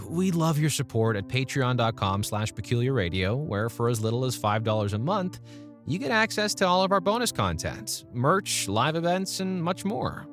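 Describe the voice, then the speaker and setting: Male voice actor as himself, mid pitch, lively casual podcast plug over soft music. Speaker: cast member promoting the show.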